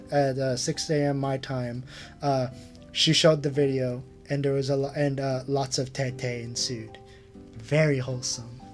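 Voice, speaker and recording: A man hosting a casual screen-share retrospective, rambling with lots of fillers and chuckling at himself. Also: a little high. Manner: rambling, filler-heavy, self-amused. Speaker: channel host.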